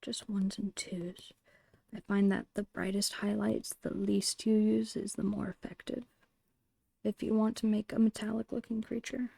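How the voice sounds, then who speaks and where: A woman whispering a casual, gentle ASMR drawing tutorial in a breathy, unhurried voice. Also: mid-range voice, flat delivery, no echo. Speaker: ASMR host and digital artist.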